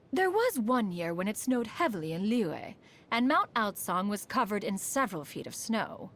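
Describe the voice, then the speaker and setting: A woman's voice: fairly high pitch, a bit bright. A woman playing a proud, refined female immortal in a video game, speaking in a formal, stately manner, aloof yet fond beneath it. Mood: wry reminiscence. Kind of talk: scripted video game character monologue.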